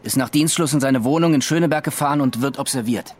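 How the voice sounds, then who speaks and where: Actor as fast-talking formal police subordinate. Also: male voice, medium pitch, soft background music, close to an everyday voice. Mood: businesslike. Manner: crisp, formal. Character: diligent, businesslike police subordinate reporting to superior. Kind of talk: radio drama acting.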